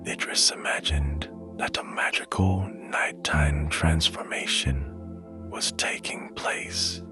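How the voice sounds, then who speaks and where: A man narrating a bedtime story in a hushed, gentle and soothing manner, taking his time. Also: extremely low pitch, slow, whispered. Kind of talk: slow, whispered bedtime storytelling. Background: music.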